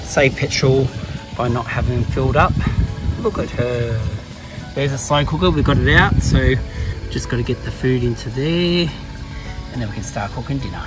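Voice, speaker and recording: Aussie guy in his 30s vlogging from a van, phone at arm's length, normal pitch, music playing under him. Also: normal speed, rough recording. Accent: Australian. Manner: chatty, upbeat, with soft asides to his dog. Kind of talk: casual vlog narration. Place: inside a camper van. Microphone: handheld phone at arm's length.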